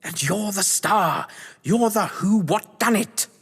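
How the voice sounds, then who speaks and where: An actor playing a whimsical, scheming crime-novelist lord of the manor, with a British accent and a pompous, florid delivery, in a stage-thriller audio drama. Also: male voice, sits high, animated, slow pace, fairly bright tone, breathy edge, heavily acted. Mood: excited, theatrical. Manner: florid, pompous, playfully grandiose. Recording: long hall-like reverb.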